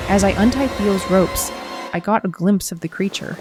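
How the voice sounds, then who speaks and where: A woman's voice: mid-range voice, normal speed, music in the background, natural voice. A woman playing an earnest, reflective runner who narrates her own story in an audio drama. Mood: awed. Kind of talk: first-person story narration with acted dialogue.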